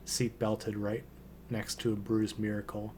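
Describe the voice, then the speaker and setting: A man with a slightly hoarse voice, hosting a relaxed poetry reading and hesitating often with ums and restarts. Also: lowish voice, unhurried, slight background noise. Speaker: poetry channel host. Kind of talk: casual intro and poetry reading.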